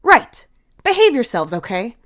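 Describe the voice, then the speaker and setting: A woman's voice: on the high side, wildly swooping pitch, unhurried, a bit bright, pitched above the performer's own voice, exaggerated voice. Casting: woman playing blunt young guy, protective big-brother authority, commanding delivery, audio drama. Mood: exasperated, commanding.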